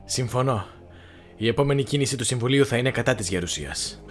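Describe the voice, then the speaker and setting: A young fallen Jedi acted by a man talks in a mid-pitched voice, solemn and subdued. He is a troubled, submissive apprentice in a dramatic film dub, with music underneath. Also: expressive, lightly acted. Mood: grave, obedient. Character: grave young male Jedi, desperate, submitting to darkness.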